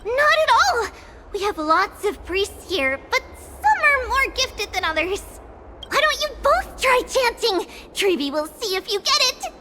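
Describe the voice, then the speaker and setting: A woman's voice: extremely high pitch, big pitch swings, a little slow, very noisy, exaggerated voice. A woman voicing a tiny, cheerful childlike girl priest in a video game, bubbly, exclamatory, helpful and proud. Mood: playful, encouraging. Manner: bubbly, exclamatory, speaks of herself as "we". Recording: music behind the voice.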